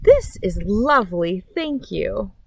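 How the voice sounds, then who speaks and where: A woman's voice: medium pitch, very animated, slow, vocal fry, darkish tone, a little hiss or hum, exaggerated voice. Woman voicing a sneaky, covetous little storybook mouse. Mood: sweetly polite. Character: small covetous mouse, outwardly polite, sneaky.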